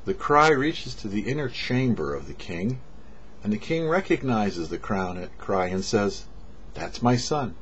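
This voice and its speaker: A man, a rabbi, giving a short sermon with parables in a measured storyteller's delivery, pausing between phrases. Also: on the low side, big pitch swings, slight background noise. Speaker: rabbi, host of a weekly Torah portion show. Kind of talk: sermon with storytelling, read for a show. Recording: dull, lo-fi audio.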